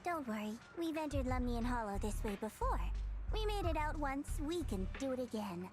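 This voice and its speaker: High, blunt young woman. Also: very animated, moderate pace. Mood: confident. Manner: blunt, matter-of-fact. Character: blunt, cool young woman who hides her concern. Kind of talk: anime-style video game voice acting. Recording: music behind the voice.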